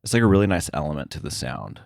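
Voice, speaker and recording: A man's voice: rumbling low, big pitch swings, fast talker, creaky, darkish tone, no room sound, studio quality. A man chatting casually as an interview guest on sound editing work, hesitant and often thinking aloud. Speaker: podcast guest, sound effects editor.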